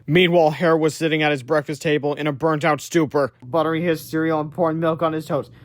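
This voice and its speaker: Higher-pitched man reading a children's book. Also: fairly even intonation, fast talker. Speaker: storybook reader. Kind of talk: read-aloud narration of a children's book.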